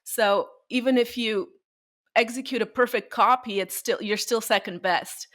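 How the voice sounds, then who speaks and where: A woman's voice: a little high, moderate pace. A Bulgarian-born woman speaks as a guest on an interview show. She tells stories about her career in a friendly, conversational way. Her talk is mixed with frequent small laughs. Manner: warm storytelling, laughs often. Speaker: violinist guest.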